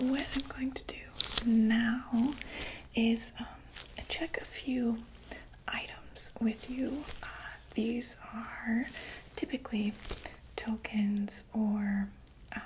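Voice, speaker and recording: A young woman whispering softly as a doctor in a close-miked ASMR roleplay, reassuring and full of small fillers. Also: fairly high pitch, a little hiss or hum, low-quality recording. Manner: soft, slow, reassuring bedside whisper with many fillers. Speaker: ASMR creator playing a monster doctor.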